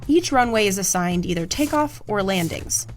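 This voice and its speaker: A woman's voice: mid-range voice, lively intonation, moderate pace. A woman reading scripted documentary narration in an explanatory manner. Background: background music.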